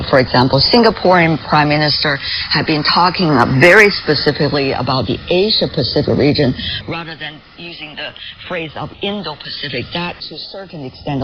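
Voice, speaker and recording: Formal interviewer on muffled, noisy radio. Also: medium pitch. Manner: formal, deliberate, long-winded questioning. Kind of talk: formal news interview questions. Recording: dull lo-fi radio relay with static noise.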